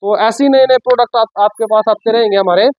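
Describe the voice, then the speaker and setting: A man, giving a casual unboxing and sales pitch as a shop presenter, explaining informally and repeating himself. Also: steady tone, quick pace, no echo, faint music behind. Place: shop office with sofa, indoors.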